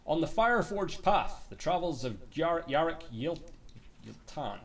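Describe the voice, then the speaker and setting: A man's voice: fairly high pitch, sing-song, unhurried, far from the mic. A man streaming a game and reading its books aloud as theatrical storytelling, dropping into muttered, sweary asides.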